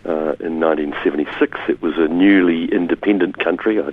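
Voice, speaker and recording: The kind of older New Zealand man who phones in to a radio show with droll yarns, deep-voiced, on a thin muffled line. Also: a little fast, husky. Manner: wry, droll, self-deprecating humour. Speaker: interview guest, community development academic. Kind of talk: conversational storytelling interview.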